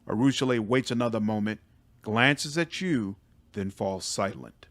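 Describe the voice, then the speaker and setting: A man's voice: lowish voice, unhurried, a bit bright, no echo. A man reading scripted fantasy game narration in a dramatic storytelling style. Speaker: gaming video creator and narrator.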